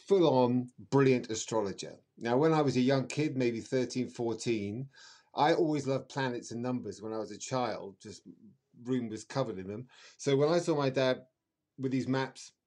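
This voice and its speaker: Middle-aged man, medium-pitched, podcast guest; exuberant, flowery, chatty storyteller with emphatic, theatrical phrasing. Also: darkish tone. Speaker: astrologer guest.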